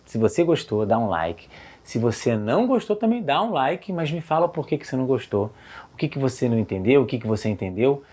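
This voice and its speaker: A man's voice: mid-range voice, very animated, a little fast, darkish tone. A man with a Brazilian accent hosting an informal educational video as a psychologist, talking conversationally and directly to viewers. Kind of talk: informal talk to camera about emotions.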